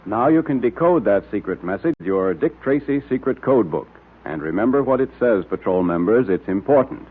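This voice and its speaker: A man announcing a 1930s children's radio serial, emphatically pitching to young listeners. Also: animated, moderate pace, husky. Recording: muffled vintage 1930s radio recording.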